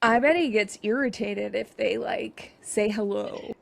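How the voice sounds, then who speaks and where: A woman co-hosting a comedy podcast, talking in a chatty, sarcastic way with quick asides. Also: mid-range voice, gravelly. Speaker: podcast co-host. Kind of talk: comedic casual chat.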